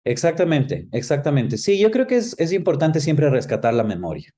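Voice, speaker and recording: A man's voice: fairly high pitch, big pitch swings, normal speed, warm tone. A man with an Ecuadorian accent speaking reflectively as an interview guest, explaining things in a conversational way. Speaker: interview guest, film professional. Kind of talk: conversational interview, personal recollection.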